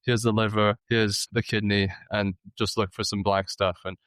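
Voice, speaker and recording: A young man, a student, speaking in a flat, even tone with a clear voice. He talks casually and hesitantly, with lots of fillers. The sound is dry and close. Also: on the low side, moderate pace, no room sound. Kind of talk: interview answers.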